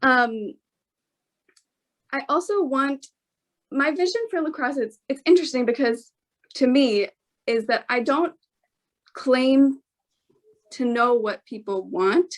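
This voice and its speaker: A woman speaking as a council candidate at a neighborhood forum, earnest and often pausing mid-phrase. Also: sits high. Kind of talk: campaign remarks at a neighborhood forum.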